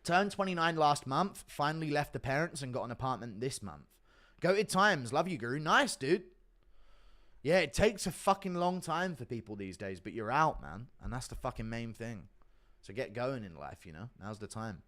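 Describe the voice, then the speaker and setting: A male livestream host talks with chat and thanks donors in a blunt, offhand manner, swearing freely. His voice sits at a middle pitch and he speaks into a desk mic. The delivery is loose and unscripted. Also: very animated, moderate pace, fairly bright tone. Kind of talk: casual livestream chat reading donations.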